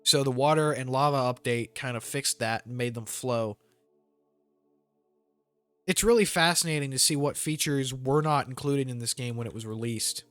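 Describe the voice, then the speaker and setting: A man's voice: medium pitch, normal speed, fairly bright tone, clear, resonant tone, no room sound, soft background music. A man giving casual, rambling gameplay commentary with jokey slang. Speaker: gamer hosting a let's play.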